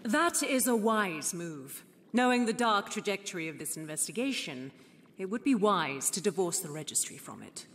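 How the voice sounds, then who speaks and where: Composed adviser, formal, measured, prudent, fantasy RPG drama, long reverb, light background ambience. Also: mid-range voice, sing-song, a bit bright. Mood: calm, advising. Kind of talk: dramatic video game voice acting. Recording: hall reverb, faint ambient noise.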